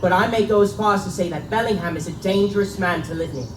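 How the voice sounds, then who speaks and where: A man playing an anxious, upright young gentleman who speaks in urgent, guarded, formal warnings at a live dramatic reading in an echoing room. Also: sits high, quick pace, natural voice. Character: Lee, anxious upright young clergyman's son warning a friend. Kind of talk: live dramatic reading of gothic pulp tale. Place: live stage reading in a bookstore. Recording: echoey live recording, distant mic, faint noise.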